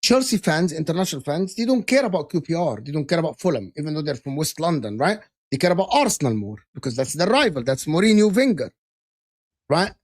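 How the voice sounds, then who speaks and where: A man's voice: fairly high pitch, very animated, fast, no room sound, studio quality. A man co-hosting a heated casual football debate, talking emphatically and insistently and repeating his points. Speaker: football podcast co-host.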